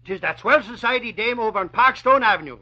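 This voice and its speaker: Excitable, high-pitched blustering Irishman. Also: fast talker, exaggerated voice. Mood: excited. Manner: blustering, exclamatory. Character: excitable blustering Irish male newshound, comic and talkative. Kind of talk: old-time radio drama acting. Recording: dull, lo-fi old radio recording.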